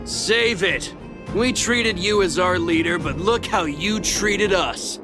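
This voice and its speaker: High-voiced, sneering miner thug. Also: male voice, slow pace, loud music behind the voice. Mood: accusing. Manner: sneering, taunting. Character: resentful, bullying male miner, ringleader of thugs. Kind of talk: animated video game voice acting.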